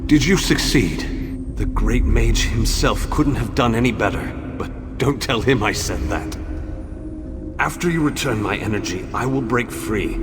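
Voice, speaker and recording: An actor playing a pompous, self-assured great wizard in a video game, speaking in a grand, formal, theatrical way. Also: male voice, mid-range voice, background noise. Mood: hopeful, wry. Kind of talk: video game character acting. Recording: loud music behind the voice.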